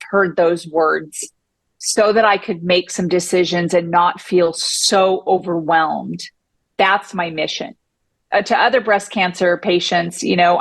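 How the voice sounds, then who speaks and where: A woman's voice: lowish voice, no echo. A woman speaking as a podcast guest, talking emphatically and with self-assurance. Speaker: podcast guest, breast cancer survivor. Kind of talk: conversational interview.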